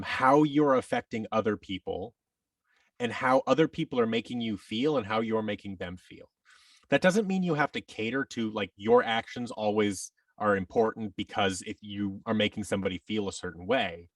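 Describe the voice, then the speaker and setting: Low-pitched man chatting, slightly off-mic. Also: no room sound, distant mic. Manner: rambling, emphatic, often acts out hypothetical quotes. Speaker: podcast guest, actor. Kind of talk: casual interview talk giving advice.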